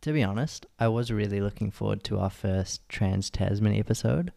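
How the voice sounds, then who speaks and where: A young man with a lowish, gravelly voice, making relaxed, dry remarks in a casual sports chat. He speaks as a co-host. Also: normal speed. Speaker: podcast co-host.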